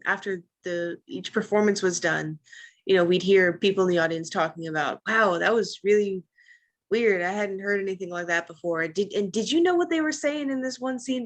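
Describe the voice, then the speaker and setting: A woman who acts on stage, talking casually and reflectively in a panel conversation, sharing her experiences. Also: medium pitch.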